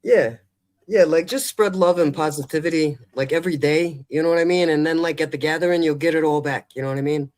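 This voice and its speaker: A man's voice: a little high, brisk, darkish tone. A man hosting a call-in show in casual, colloquial chat, often checking that listeners follow. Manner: colloquial, chatty, seeks agreement. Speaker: advice call-in show host.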